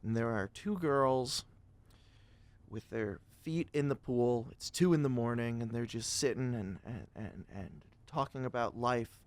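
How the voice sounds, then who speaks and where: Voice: medium pitch, a little slow. A podcast host chatting casually in a playful, joking manner. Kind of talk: casual chat.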